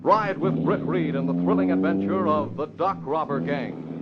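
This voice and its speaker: A man's voice: on the low side, sing-song, moderate pace, gravelly, over loud music. An American man announcing in an emphatic, scripted 1940s radio style. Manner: emphatic, declamatory. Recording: dull old radio recording.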